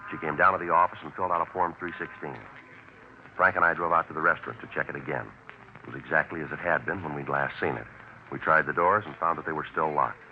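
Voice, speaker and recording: Very deep, deadpan police sergeant. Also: male voice, fast. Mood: matter-of-fact. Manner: deadpan, clipped, terse. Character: businesslike male police sergeant, clipped, patient, matter-of-fact. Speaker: detective and narrator. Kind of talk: 1950s radio crime drama acting. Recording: muffled old radio recording, clear hiss.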